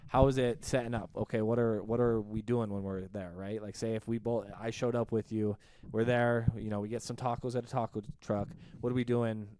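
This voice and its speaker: A man's voice: lowish voice, little pitch movement, fairly quick, few pauses, warm tone, a little hiss or hum. A man hosting a casual podcast, asking friendly questions and adding frequent backchannels. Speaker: podcast host.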